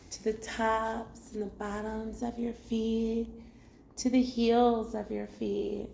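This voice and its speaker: A woman guides a meditation at a very slow, gentle pace, leaving long pauses between instructions. Her voice is soft-edged and mellow at a medium pitch. It sounds like a roomy, echoing space, with music playing behind her. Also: vocal fry, slight background noise. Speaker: meditation teacher. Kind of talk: guided body scan meditation. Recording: roomy echo.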